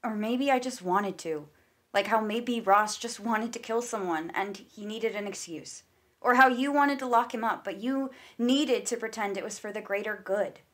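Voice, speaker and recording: An actress playing a guarded, frustrated sister in a stage drama. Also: female voice, medium pitch, moderate pace, natural voice. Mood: brooding, subdued. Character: guarded, frustrated older sister of an ambitious student. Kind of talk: stage-play dialogue acting. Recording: reverberant hall sound.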